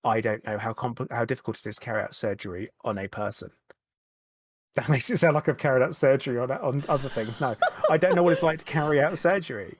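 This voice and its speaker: A man, a podcast guest, talking candidly in a halting way, often repeating words and starting over. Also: medium pitch, husky, dry recording. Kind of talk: candid conversational chat.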